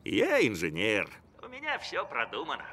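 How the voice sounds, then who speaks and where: A man playing a mild-mannered, self-assured engineer dad in a cartoon, speaking in a measured, mock-solemn way. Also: medium pitch, wildly swooping pitch, background noise. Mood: confident.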